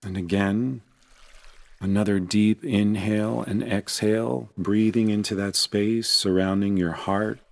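Very low-voiced man guiding meditation, creaky. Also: steady tone, no echo. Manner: gentle, unhurried, soothing instruction. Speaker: meditation guide. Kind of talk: guided meditation narration.

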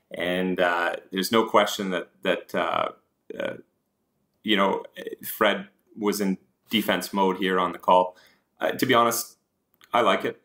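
Man, deep voice, informal explanatory commentary, hesitant asides, investing host. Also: sharp tone. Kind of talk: informal slide presentation commentary.

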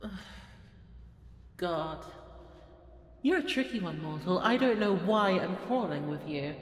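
A woman's voice: fairly low pitch, expressive, a little slow. A woman playing a haughty, bored, playful goddess of destruction in an ASMR audio roleplay, with an imperious, mocking, theatrical delivery. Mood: grudgingly amused. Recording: echoey hall reverb, faint background noise.

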